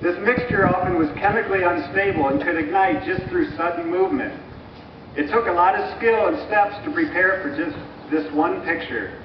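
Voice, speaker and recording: A man giving a scripted, explanatory historical narration in a measured, didactic way, like a presenter at a live dramatization. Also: high-pitched. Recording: muffled, echoey distant recording with clear noise.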